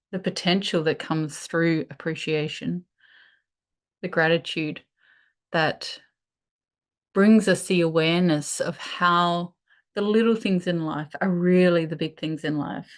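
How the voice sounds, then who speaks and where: A woman leading a guided meditation, speaking in a soothing, unhurried way with pauses between phrases. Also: on the low side, steady tone.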